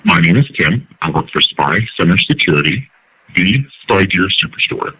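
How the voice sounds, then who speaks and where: A man's voice: low-pitched, expressive, normal speed, sharp tone, husky, pitched below the performer's own voice, full character voice, low-quality recording. A man speaking through an electronic voice changer set to an altered male preset, reading a plain test. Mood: neutral test.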